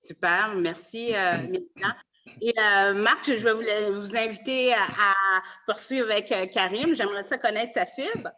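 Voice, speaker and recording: A woman's voice: mid-range voice, a little slow, bright, forceful tone. A woman hosting a panel discussion in a semi-formal, conversational way.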